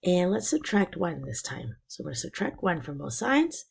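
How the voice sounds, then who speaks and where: A woman teacher explaining a math lesson step by step, her voice raspy and hoarse from being sick. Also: on the low side, very animated, normal speed. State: hoarse from illness.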